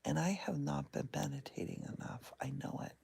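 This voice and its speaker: A middle-aged woman talking softly and unhurriedly from her bed, recorded on a phone she holds in one hand. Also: sits low, fairly even intonation, gravelly, breathy edge. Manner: soft and whispery, unhurried. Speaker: vlogger. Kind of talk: casual guided meditation vlog. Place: in bed at home. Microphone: phone held in one hand.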